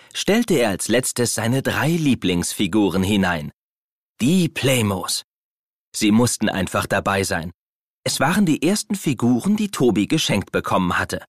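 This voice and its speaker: A man narrating a children's audio play in an expressive storytelling manner. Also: fairly low pitch, measured pace, dry recording, clean studio sound.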